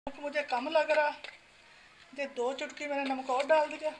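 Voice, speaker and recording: A woman calmly explaining a home recipe step by step while she cooks. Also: high voice, moderate pace. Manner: calm, instructional, talks while working. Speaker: home cooking channel host. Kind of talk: step-by-step cooking instructions. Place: home kitchen. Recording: noisy home phone recording.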